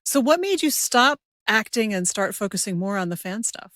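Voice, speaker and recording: A woman with a mid-pitched voice hosts an interview in a casual, conversational style. The sound is dry, with very little room in it. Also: lively intonation, moderate pace, a bit bright, no room sound. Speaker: interviewer, podcast host.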